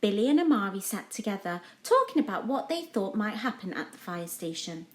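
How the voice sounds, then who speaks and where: Animated woman storyteller in an echoey room. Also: medium pitch, fairly quick. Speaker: children's storyteller. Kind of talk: scripted storytime narration.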